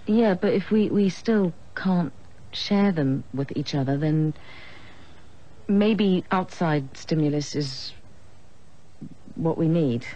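A guilty, hesitant English teacher. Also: female voice, deep voice, takes it slowly, long pauses, dark tone, muffled recording. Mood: hushed. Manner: hesitant, breathy, stammering restarts. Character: troubled married woman teacher, guilty. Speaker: teacher in a soap opera. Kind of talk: television drama acting.

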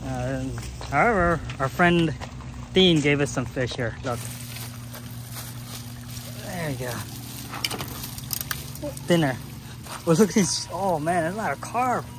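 A man in his forties giving relaxed, matter-of-fact vlog commentary on a fishing trip, filming himself with a phone held at arm's length. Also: fairly high pitch, takes it slowly, pauses often. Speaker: vlogger. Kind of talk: casual vlog narration. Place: outdoors by a river below a dam. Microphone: phone camera held at arm's length. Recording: noisy outdoor phone recording.

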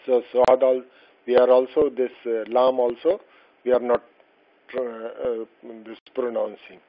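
A man's voice: medium pitch, little pitch movement. Patient male language teacher, lo-fi voice-over. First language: not Arabic. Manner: slow, patient explaining with many fillers and restarts. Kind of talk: explaining a textbook lesson. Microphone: voice-over over slides. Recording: dull lo-fi voice-over.

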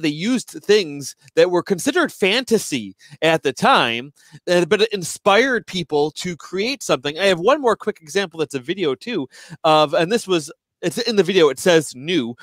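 A man's voice: on the high side, fairly bright tone, no room sound. A man in his thirties hosting a casual podcast, explaining points with lots of fillers. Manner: explanatory, quick to agree, many fillers. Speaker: podcast host.

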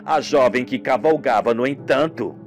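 A man's voice: mid-range voice, normal speed, faint music behind, voice pushed down, close to an everyday voice. A man playing a formal, probing male police investigator in a radio soap.

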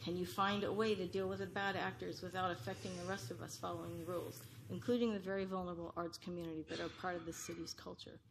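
A woman's voice: on the low side, flat delivery, quick pace, gravelly, hardly any pauses, slight background noise, poor audio. A middle-aged woman reads an earnest, pleading prepared statement as a public commenter at a podium microphone in a large council chamber. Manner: earnest, pleading, read from notes.

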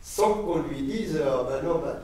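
A man's voice: fairly high pitch, a little slow. An older man from Morocco speaking to a student audience in a seminar room, telling stories with many fillers and digressions. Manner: digressive storytelling, lively gestures, frequent fillers. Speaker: guest speaker. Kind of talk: informal lecture to students. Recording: echoey room, distant mic, faint noise.

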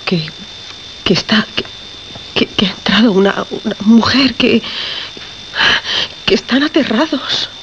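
A woman's voice: a little high, a little slow, pauses often. An actress with a Castilian accent playing a frightened, harried woman from a radio control room, urgent and pleading, in a radio drama. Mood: frightened, faltering. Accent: Castilian Spanish. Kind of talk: Spanish radio drama acting. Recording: dull radio recording, faint noise.

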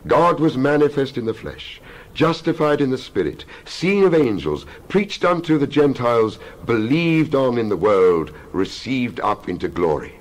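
A man reading scripture aloud in a dramatized style, solemn and declamatory, in measured phrases. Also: mid-range voice, sing-song, rough recording. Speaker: Bible reader.

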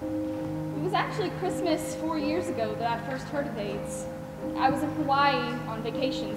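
Woman acting kind mother, stage drama, high-pitched, earnest, projected, echoey theatre. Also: lightly acted. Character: kind, earnest young mother volunteering to care for patients. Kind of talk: amateur stage play acting. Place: large theatre stage, indoors. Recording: echoey theatre hall, music over voice.

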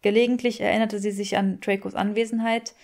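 A woman's voice: mid-range voice, flat delivery, fairly quick, darkish tone. A woman reading scripted story narration as an audiobook narrator.

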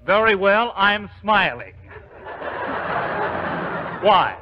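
High-voiced pompous stuffed shirt. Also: male voice, big pitch swings, very slow, full character voice. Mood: haughty. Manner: prim, pompous. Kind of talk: 1940s radio comedy acting. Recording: muffled, noisy 1940s radio recording.

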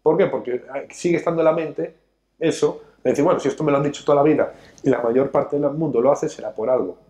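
A man's voice: on the high side, quick pace, warm tone. Emphatic man lecturing on a sofa. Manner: emphatic, lecturing, gestures a lot while talking. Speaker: financial educator, show host. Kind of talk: studio talk show discussion. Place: studio set, seated on a sofa.

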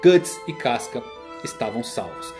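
A man's voice: mid-range voice, big pitch swings, a little slow, a little mellow, soft background music. A man with a Brazilian accent delivering dramatic scripted narration, like a storyteller explaining a saga. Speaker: narrator of an anime and manga explainer channel.

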